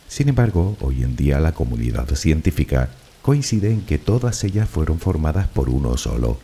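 A man from the Canary Islands with a Canarian Spanish accent, narrating a guided sleep relaxation in a soft, unhurried, soothing way. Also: extremely low pitch, sing-song, slight background noise.

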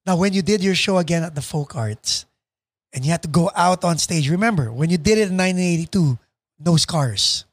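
A man with a Filipino accent hosting a casual interview, attentive and quick to affirm with short interjections. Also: on the high side, animated, no room sound. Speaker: podcast host.

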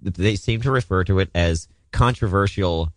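A man's voice: low-pitched, normal speed, no echo, studio quality. A man hosting a casual comedy podcast, playful and joking, laughing often. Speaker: podcast host.

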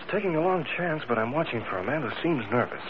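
An actor playing a bold, watchful masked crime fighter in a 1940s radio adventure. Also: male voice, a little high, expressive, quick pace, natural voice. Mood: watchful, calm. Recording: muffled, noisy old radio recording.

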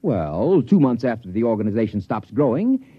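An actor playing an unflappable, absent-minded scientist with a husky, musing delivery in a 1950s radio drama. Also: male voice, medium pitch, very animated, moderate pace, no echo. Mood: vague, musing. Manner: mild, hesitant, musing, professorial. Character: unflappable absent-minded male scientist, smugly detached doctor. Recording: dull, dry vintage radio recording.

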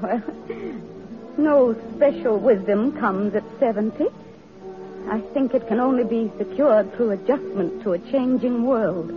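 A woman's voice: a little high, unhurried, natural voice. An actress playing a principled, determined woman social reformer in a radio drama, speaking earnestly and firmly. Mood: thoughtful. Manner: earnest, firm, declarative. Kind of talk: vintage radio drama acting. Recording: muffled vintage radio, music behind.

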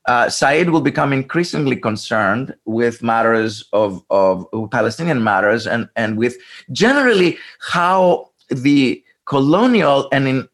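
A male scholar speaks in a documentary interview, thinking aloud as he explains. His pitch rises and falls in a lively way, and he often restarts a phrase with small fillers. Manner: thoughtful, halting, many restarts and fillers.

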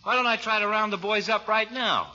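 An actor playing a folksy, joshing small-town barber in a 1940s radio comedy. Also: male voice, high voice, fairly quick, sharp tone. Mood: eager. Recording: dull vintage radio recording.

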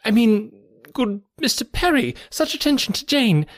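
A man's voice: very high voice, sing-song, normal speed, voice pushed up, full character voice. A man voicing a fluttery, grateful, scatterbrained spinster in an audiobook of a classic novel, effusive and breathless, rambling in broken phrases.

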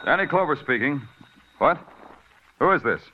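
An actor playing a hard-boiled police detective who narrates in a dry, wisecracking way in an old radio drama. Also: male voice, mid-range voice, expressive, moderate pace, heavy background noise, lightly acted. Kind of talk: 1940s radio acting. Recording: dull old radio recording with music.

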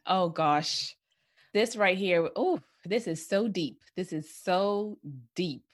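A woman's voice: fairly low pitch, slow. A woman hosting a conversational interview, effusive and affirming, repeating phrases for emphasis. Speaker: interview host.

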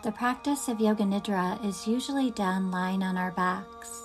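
A woman leading a guided yoga nidra meditation in a gentle, soothing, unhurried manner with long pauses. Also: mid-range voice, faint music behind.

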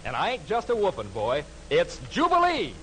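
A man's voice: sits high, wildly swooping pitch, normal speed, low-quality recording. A man with an American accent announcing a 1940s radio show in theatrical, hip jive style. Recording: vintage 1940s radio, music behind. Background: music.

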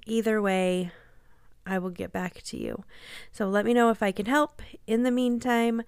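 A woman's voice: mid-range voice, steady tone, moderate pace, darkish tone, clear, resonant tone, no echo, studio quality. A woman hosting a podcast, sounding congested from a cold, talking in a chatty, apologetic way. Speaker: podcast host. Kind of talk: casual podcast chat.

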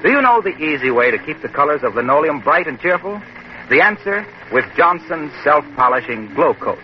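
Upbeat old-time radio announcer. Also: male voice, fairly high pitch, very animated, a little fast. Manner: upbeat, selling. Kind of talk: 1940s radio ad read and narration. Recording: dull, hissy old radio recording.

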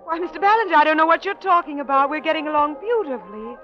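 High-voiced scheming radio-drama wife. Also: female voice, expressive, quick pace, soft background music. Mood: gracious, bright. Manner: smooth social charm turning to urgent pleading. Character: scheming, flirtatious wife desperate for an inheritance. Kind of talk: old-time radio drama acting. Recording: muffled vintage radio recording.

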